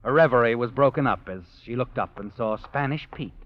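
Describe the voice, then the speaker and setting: An actor playing a knowing, ominous storyteller, narrating a 1940s radio suspense play in a theatrical, insinuating voice. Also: male voice, mid-range voice, a little fast, natural voice. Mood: brooding. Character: ominous, knowing male storyteller who walks by night. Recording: muffled 1940s radio, music behind.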